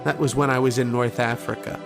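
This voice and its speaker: A man's voice: medium pitch, level tone, brisk, vocal fry, lightly acted. A man playing a haunted, lovesick, war-weary soldier in an old-time radio horror drama, with a brooding, dramatic delivery. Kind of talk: old-time radio horror acting, first-person narration and dialogue. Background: violin music.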